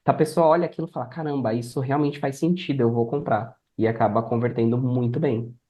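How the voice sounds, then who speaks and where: A man's voice: mid-range voice, fairly even intonation, fast, muffled recording. A man with a Brazilian accent explaining things casually as a podcast guest on a remote call. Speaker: podcast guest, affiliate marketer.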